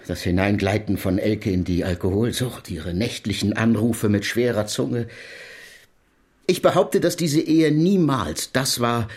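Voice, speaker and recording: Actor as a low-voiced, bitter, accusing brother. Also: male voice, darkish tone, natural voice. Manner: formal, scornful, insistent. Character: bitter, accusing brother, stiffly formal man. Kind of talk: German radio play acting.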